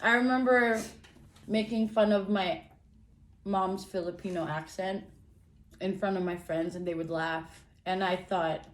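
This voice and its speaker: A woman's voice: medium pitch, steady tone, a little slow. 20s woman, theater performer, chatting at home, roomy echo, laughs a lot while telling stories. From Canada. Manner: reflective storytelling, laughs often. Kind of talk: casual family chat in mixed English and Tagalog.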